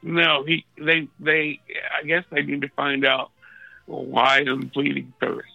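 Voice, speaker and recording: A man with a raspy voice, thirsty and unfed in a hospital room, telling a story in a laid-back, slangy way. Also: on the high side, completely flat delivery, slow, dry recording, lo-fi sound, soft background music. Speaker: guest calling in from a hospital bed. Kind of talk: casual storytelling chat.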